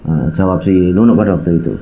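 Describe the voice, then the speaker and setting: A man's voice: deep voice, quick pace, phone-quality sound. A man with a Javanese accent, telling a personal hiking tale as a casual, colloquial storyteller who speaks directly to his listeners. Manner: colloquial, addresses listeners, acts out quoted dialogue, mixes in local phrases. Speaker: storyteller recounting his own mountain hike.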